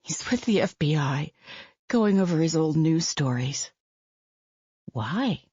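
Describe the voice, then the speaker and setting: A woman's voice: sits low, lively intonation, takes it slowly, a little mellow, breathy edge, dry recording, lo-fi sound, full character voice. Woman reading as a distraught young mother. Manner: pleading, breaking voice. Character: distraught young mother, tearful and self-blaming.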